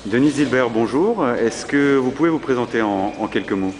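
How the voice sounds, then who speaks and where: A man's voice: medium pitch, expressive, moderate pace. A man hosting a podcast interview, speaking hesitantly with many fillers and repeated words. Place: busy convention hall. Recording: noisy convention floor.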